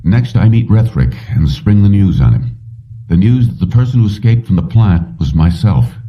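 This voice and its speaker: A man voicing a determined, self-assured male fugitive in an audiobook, measured and decisive. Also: on the low side, lively intonation, pitched above the performer's own voice. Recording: muffled, dull, distant-sounding.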